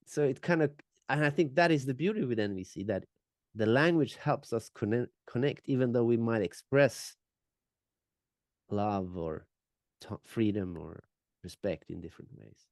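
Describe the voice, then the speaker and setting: A man's voice: mid-range voice, lively intonation, moderate pace, a little mellow, no echo, studio quality. A Finnish man, a native Finnish speaker, co-hosting a reflective conversation and searching for words with frequent restarts. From Finland. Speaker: podcast co-host.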